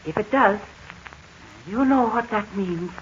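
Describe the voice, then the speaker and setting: A woman's voice: medium pitch, slow pace, close to an everyday voice. A woman playing an anxious motherly nurse. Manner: anxious, pleading. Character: worried motherly woman, Mrs. Donovan. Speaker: nurse figure tending a sick man. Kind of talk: 1940s radio acting. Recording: muffled old radio recording with hiss.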